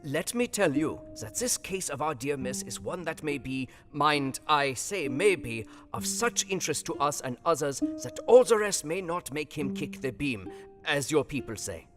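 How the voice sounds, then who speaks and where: An actor playing a wise older professor in an audio drama, kindly and grave, with emphatic, halting counsel in foreign phrasing. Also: male voice, fairly high pitch, big pitch swings. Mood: grave, earnest. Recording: long reverb, music behind. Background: guitar music.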